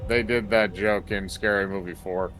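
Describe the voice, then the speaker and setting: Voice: sits low, steady tone, moderate pace, over loud music. A co-reader on a game stream, chatting casually in short replies. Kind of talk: casual chat.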